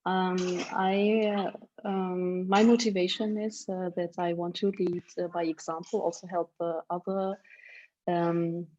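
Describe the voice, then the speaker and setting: A woman speaking slowly and in a steady, flat tone on a video-call panel. She hesitates often, filling gaps with "uh". The audio sounds muffled and lo-fi. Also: on the low side. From Germany. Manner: hesitant, full of "uh" fillers. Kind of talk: panel discussion.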